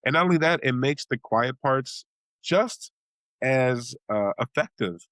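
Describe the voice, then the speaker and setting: A man from Chicago, a blues singer and bandleader, talking casually in an interview, rambling with many restarts and acting out reactions in sudden high exclamations. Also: expressive, a little slow, a bit bright, no room sound.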